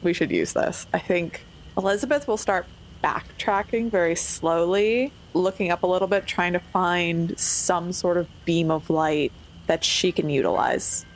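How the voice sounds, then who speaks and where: A woman playing a tabletop game, casually describing her character's actions and thinking aloud haltingly. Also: on the low side, slight background noise, poor audio.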